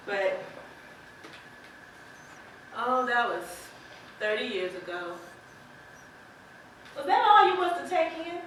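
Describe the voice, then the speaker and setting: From a very echoey stage with faint noise comes a high, bright farm wife, acted by a woman. She is plain-spoken and folksy, sympathetic and quietly defiant. Her delivery is deliberate, pitched for a live amateur play. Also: distant mic, full character voice. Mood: wistful, then questioning, defensive. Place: indoor theater stage, distant microphone.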